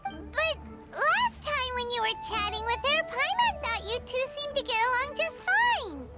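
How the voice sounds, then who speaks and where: A woman's voice: big pitch swings, unhurried, exaggerated voice. A woman voicing a tiny, childlike, chirpy and cheeky fairy companion in a video game. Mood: curious, chirpy. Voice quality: squeaky, childlike. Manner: refers to herself in third person, exclamatory. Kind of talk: video game character voice acting. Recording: music playing behind the voice.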